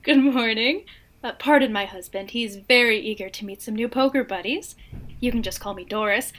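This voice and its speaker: A woman's voice: fairly high pitch, moderate pace, fairly bright tone, lightly acted. A woman playing a genteel, flirtatious 1950s housewife in an audio drama, gracious and coy, with leading questions.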